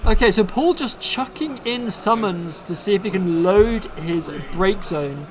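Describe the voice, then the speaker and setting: A man's voice: high voice, expressive, unhurried, a crowd in the background. Upbeat man calling a card game. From Bristol, England. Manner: upbeat, reads card text aloud. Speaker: commentator. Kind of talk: casual stream commentary.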